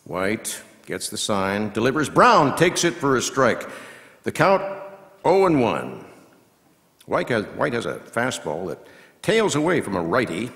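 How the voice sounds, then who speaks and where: Reverberant hall. Seasoned male baseball commentator performed by a man, folksy, highly animated intonation, measured patter and punchy calls. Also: gravelly, exaggerated voice. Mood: punchy, excited. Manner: measured asides breaking into punchy, drawn-out calls. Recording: long hall reverb from live venue.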